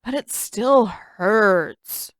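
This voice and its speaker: A woman's voice: medium pitch, lively intonation, drawn-out words, no room sound. A woman puts on a whiny, self-pitying boy for an audio story. He drags out his complaints, in a dry, close recording. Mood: whining.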